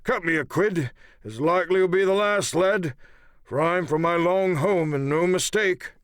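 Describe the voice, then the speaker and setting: A man voicing a wounded, sly old pirate coxswain with rough rustic sailor's speech, in a dramatised audiobook. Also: high voice, normal speed, a bit bright, dry recording, clean studio sound, voice pushed up. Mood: weak, pleading. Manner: sly, blunt, commanding.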